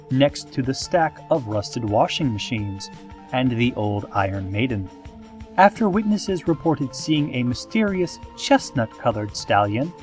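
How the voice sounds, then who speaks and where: A man playing a calm, eerie small-town radio announcer, reading scripted parody news in a measured, theatrical, deadpan way. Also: medium pitch. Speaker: radio host in a parody podcast.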